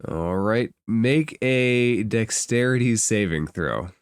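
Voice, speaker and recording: A man narrating a tabletop fantasy game as game master, describing scenes in improvised, halting phrases and thinking aloud. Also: fairly low pitch, expressive, clean tone, no echo, studio quality.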